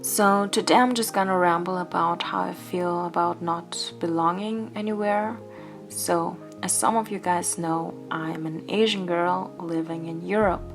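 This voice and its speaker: A woman's voice: lowish voice, fairly even intonation, unhurried. Woman vlogger from Austria, music behind. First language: German. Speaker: craft vlogger. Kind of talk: casual rambling voice-over. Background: background music.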